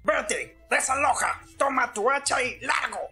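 A performer voicing a small, grumpy furry forest guardian in an animated fan dub, pompous and indignant, with a Latin American accent. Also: high voice, unhurried, soft background music. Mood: pompous, stern.

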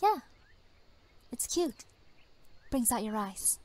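A woman's voice: on the high side, big pitch swings, slow pace, a little mellow, full character voice. A woman playing a cool, dismissive teenage villain girl in a fan dub, blunt and sardonic. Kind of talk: amateur fandub acting.

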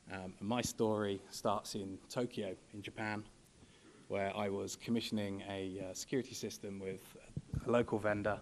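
A young man speaking from a podium at a conference panel, measured and full of ums and you-knows. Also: fairly low pitch, slight background noise. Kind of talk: presentation at a podium. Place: large conference hall. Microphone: podium microphone.

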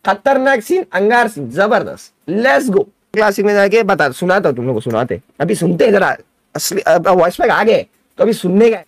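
Excitable young male gaming streamer. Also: high voice, moderate pace, dry recording. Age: young adult. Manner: chatty, excitable, talks to viewers as guys. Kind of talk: excited live reaction stream. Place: gaming room at a desk.

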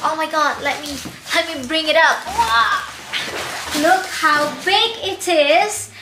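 A woman's voice: very high voice, takes it slowly, echoey room, over music. A young woman vlogger unboxing baby products, bubbly and giggly as she gushes over each one. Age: young adult. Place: bright indoor room.

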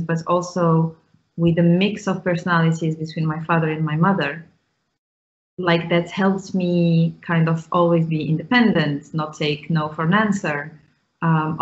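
A woman in her thirties from Morocco, a guest on a video call interview, speaking thoughtfully with many ums and restarts. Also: fairly low pitch. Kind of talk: casual interview talk. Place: small room indoors. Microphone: video call with earphones. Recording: muffled video call audio.

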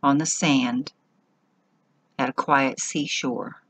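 Female meditation guide, slow and husky, measured instructional phrasing with generous pauses. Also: a bass voice, fairly even intonation, fairly bright tone.

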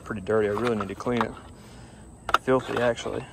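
A man's voice: fairly low pitch, steady tone, fast, gravelly, background noise. Young guy chatting to his phone out in the yard, laid back, lots of uh and you know. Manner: easygoing, rambling, full of fillers. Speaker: lawn care business owner. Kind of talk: casual vlog talk to camera. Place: outdoors in a grassy yard. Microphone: phone camera at arm's length.

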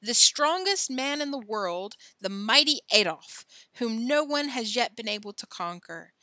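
A woman's voice: a little high, lively intonation, bright, forceful tone, no room sound. A woman voicing a showy, bombastic male circus ringmaster in a storybook, with a grandiose announcer's delivery. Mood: showy, booming. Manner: grandiose, drawn-out barker's delivery.

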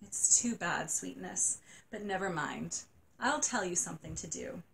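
A woman voicing a kindly, sympathetic aunt who speaks gently in a storybook reading. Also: fairly low pitch, animated, measured pace, vocal fry, a little hiss or hum, exaggerated voice, low-quality recording. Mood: soothing. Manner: gentle and soothing.

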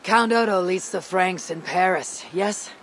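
A woman playing a stern, weary Viking warrior leader in a video game, grave and measured. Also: lowish voice, slight background noise, natural voice. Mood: firm. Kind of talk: dramatic game cutscene acting.

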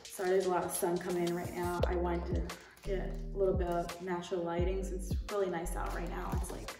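A woman's voice: on the low side, completely flat delivery, brisk. A young woman chatting casually and informally to camera as a lifestyle YouTuber, rambling a little through a product haul. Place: indoor room. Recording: roomy echo, distant mic, music behind.

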